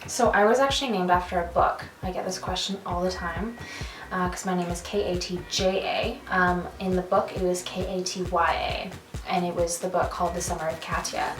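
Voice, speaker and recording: A young woman from Canada chatting casually with a friend on a vlog, animated and laughing easily. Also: fairly low pitch, brisk. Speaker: vlogger. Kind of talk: casual chat. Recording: roomy, from a distance, music behind.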